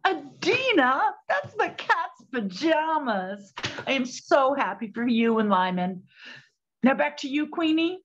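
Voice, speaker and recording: A slightly muffled recording of a brisk 1920s lady detective played by a woman. Her voice sits on the high side, businesslike and peppered with period slang. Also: very animated, background noise. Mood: delighted, probing. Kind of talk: 1920s period audio drama acting.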